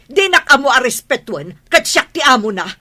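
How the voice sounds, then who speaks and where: A woman's voice: high-pitched, big pitch swings, moderate pace, bright, forceful tone, exaggerated voice. A woman playing a hot-tempered, bossy mother and madam of the house in a Filipino radio drama, scornful and imperious, with an Ilocano accent. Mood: resentful complaining.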